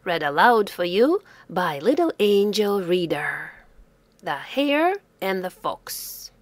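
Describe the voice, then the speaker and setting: A woman narrating a children's story aloud with careful, expressive enunciation for young English learners. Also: mid-range voice, slow pace, no echo. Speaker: storybook reader.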